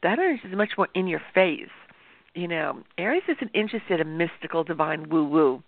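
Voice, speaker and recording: Woman with a sore throat, gravelly, chatty and explaining stuff, thin phone-ish sound. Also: fairly low pitch, expressive, brisk, dry recording. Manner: chatty, explanatory, addresses viewers directly. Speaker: astrologer hosting a show. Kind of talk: informal talk-show monologue. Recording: tinny phone-like dry sound.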